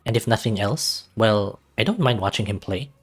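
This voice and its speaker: Low-voiced man reading over music. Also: lively intonation, normal speed, breathy edge. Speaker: let's-read video host reading a visual novel. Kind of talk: casual reading aloud and chat.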